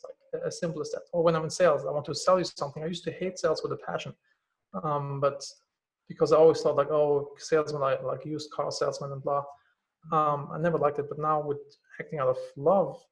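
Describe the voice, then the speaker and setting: A man's voice: fairly high pitch, poor audio. A man with a German accent, a company CEO speaking as a guest in a casual podcast conversation, candid and full of fillers, swearing now and then.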